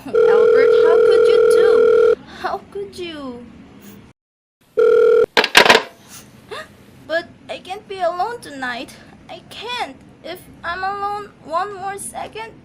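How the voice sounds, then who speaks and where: A woman's voice: very high voice, expressive, slow pace, pauses often, very noisy, full character voice. A woman acting a nervous, demanding invalid wife in an amateur radio drama, complaining imperiously and emotionally. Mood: distraught.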